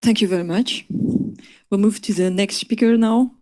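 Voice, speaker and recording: A woman's voice: medium pitch, moderate pace, dark tone. A woman chairing a conference session, politely introducing the next speaker. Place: conference hall.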